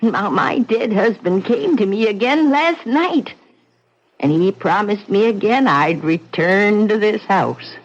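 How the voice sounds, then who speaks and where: Old widow prophet of doom, slow and insistent, dull old radio sound. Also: female voice, mid-range voice, expressive. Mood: foreboding. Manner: grim, insistent warnings. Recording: muffled old radio recording, faint hiss.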